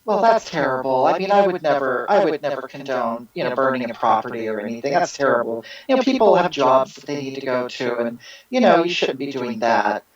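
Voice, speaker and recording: A performer doing an earnest activist woman who stumbles over her own sentences, stammering and jumping in pitch before settling back down. Her voice arrives muffled and lo-fi, like remote call audio, at a middling pitch. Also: animated, natural voice. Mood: earnest explaining. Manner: halting, repeats words, jumps high in pitch. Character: self-styled female social justice activist, earnest and rambling. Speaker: guest being interviewed. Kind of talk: satirical interview answers.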